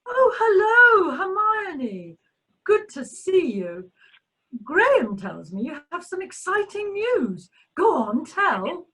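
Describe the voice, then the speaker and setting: A woman's voice: very high voice, huge pitch swings, takes it slowly, pushed and bright, full character voice, low-quality recording. A woman playing a catty, snobbish, dramatic society lady in a radio play, with an arch, exclamatory delivery. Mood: arch, teasing. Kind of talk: amateur radio play acting.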